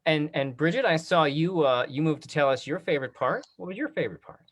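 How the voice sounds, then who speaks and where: A man's voice: fairly high pitch, lively intonation, quick pace. A man moderating a casual discussion in an encouraging, affirming way.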